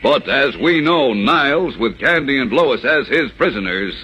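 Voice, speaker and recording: A man's voice: mid-range voice, lively intonation, measured pace, background noise, lightly acted. A man narrating as announcer in 1940s radio serial style, with dramatic, declamatory scripted delivery. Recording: vintage radio recording. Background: prominent music.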